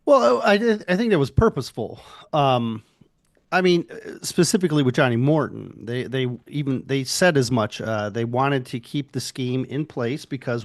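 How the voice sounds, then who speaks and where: Man co-hosting a podcast, mid pitch, stumbles over words, dry close sound. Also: dry recording. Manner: joking, stumbles and repeats words. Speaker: podcast co-host and editor. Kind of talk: casual podcast chat.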